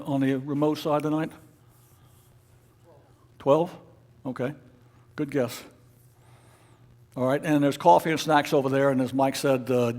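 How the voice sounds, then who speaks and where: A man leading a club meeting, giving informal announcements in a halting, unscripted way. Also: medium pitch, darkish tone. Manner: halting, hesitant, unscripted delivery. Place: club meeting room with an audience.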